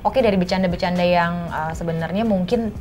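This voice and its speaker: Fast young woman, tentative phrasing. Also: fairly low pitch, darkish tone. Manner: tentative, searching for words. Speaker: talk show panelist. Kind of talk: casual group discussion. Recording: music playing behind the talk. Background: background music.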